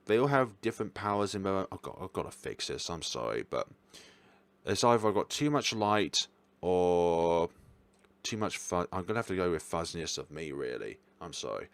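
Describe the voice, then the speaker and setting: A man with a low voice talks casually to his viewers as the host of a review channel. He speaks without a script, drifting between thoughts, correcting himself and slipping in apologetic asides. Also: a bit bright, smooth. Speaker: visual novel reviewer hosting own channel. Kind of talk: casual unscripted review chat to camera.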